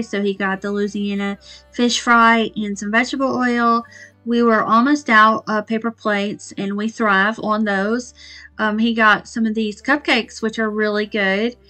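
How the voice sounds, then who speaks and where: A woman's voice: a little high, low-quality recording. A woman, chatting casually to camera in her kitchen about homemaking, rambling with fillers. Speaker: homemaking vlogger. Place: small home kitchen, indoors. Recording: soft background music.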